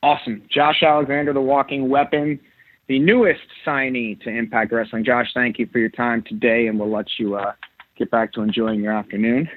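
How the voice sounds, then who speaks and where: A man with a Chicago accent hosting an interview, chatty and halting, with many restarts. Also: medium pitch, flat delivery, dry recording. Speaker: host. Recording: tinny dry phone line.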